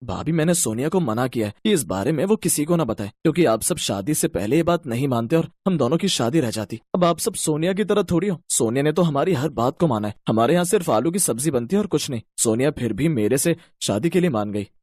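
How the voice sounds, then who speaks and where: Man acting animated, higher-pitched family man. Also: a little fast, hardly any pauses, dry recording, studio quality. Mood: earnest, explaining. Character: earnest men of an Indian family, husband and in-law. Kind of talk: animated story dialogue.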